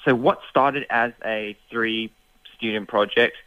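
A man calling in as a guest, explaining his project hesitantly with many pauses and fillers. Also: on the low side, fairly even intonation, clean tone, no room sound, highs cut off.

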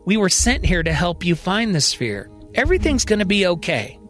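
A man voicing a calm, careful woman who gently explains things in a science-fiction audio serial. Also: high voice, normal speed, faint music behind. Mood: reassuring. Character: calm, careful woman team member breaking hard news.

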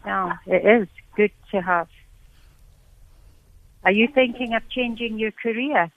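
A woman's voice: mid-range voice, unhurried, no echo. Female psychic medium, motherly phone reader, tinny dry line. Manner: motherly, calls callers dear. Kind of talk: call-in reading over the phone.

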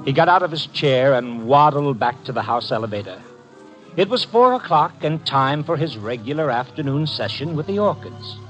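An actor playing a brisk, tough detective's assistant, wry and hardboiled, in a 1950s radio drama. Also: male voice, medium pitch, lively intonation, natural voice. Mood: wry narrating. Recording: muffled vintage radio with music.

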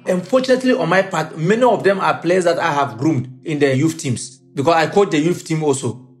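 A man's voice: on the high side, brisk, faint music behind. A middle-aged man with a Ghanaian accent, a football coach giving emphatic interview answers.

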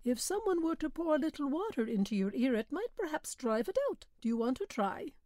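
Brief: kindly calm priest, voiced by a woman, soft and mild. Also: high-pitched, fairly quick, no room sound, clean studio sound, voice pushed up. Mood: gentle. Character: calm gentle village priest man.

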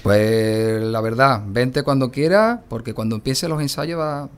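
An actor with an Andalusian accent playing a jovial, fatherly brotherhood bearer in a radio drama, hearty and quick to laugh. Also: medium pitch, animated, smooth.

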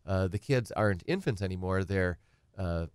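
Low-voiced Midwestern man explaining casually. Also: normal speed, clear, resonant tone, dry recording, studio quality. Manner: rambling, voices clients' questions in examples. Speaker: estate planning attorney guest. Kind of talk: conversational talk show explanation.

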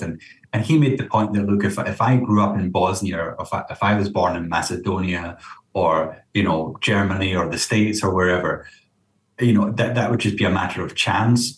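A man speaking as a counsellor being interviewed, explaining in a conversational way and often checking in with the listener. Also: low voice. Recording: roomy echo, distant from mic.

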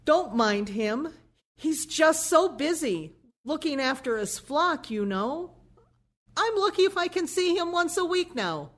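A woman playing a devout, defensive elderly mother in an audio play, gentle and pleading. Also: high voice, animated, unhurried. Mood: gently defensive.

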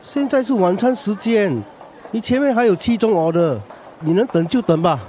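An actor as a grumpy, high-pitched Singaporean stall boss. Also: male voice, normal speed, warm tone, slight background noise, lightly acted. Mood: irritated, curt. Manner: curt, irritable, dismissive. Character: grumpy, impatient, overworked male food stall boss. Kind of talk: radio drama acting.

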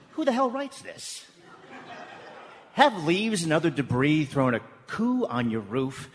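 An exasperated, bossy radio boss in a comedic live radio play. He speaks unhurriedly with big pitch swings, in a reverberant theatre with faint noise. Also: full character voice. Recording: noisy live theatre hall, long reverb.

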